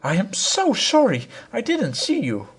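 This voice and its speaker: A man voicing a small, furious wife who scolds her husband in shrill, tearful screams. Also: huge pitch swings, measured pace, breathy edge, heavily acted. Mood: furious scolding. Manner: screaming, wailing, near tears. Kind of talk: audiobook character reading.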